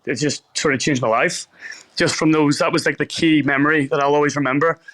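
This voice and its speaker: A man's voice: fairly high pitch, steady tone, fairly quick, a bit bright. A man with a Northern Irish accent talking casually as an interview guest, often rephrasing himself as he goes. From Belfast, Northern Ireland. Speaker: chef, podcast guest.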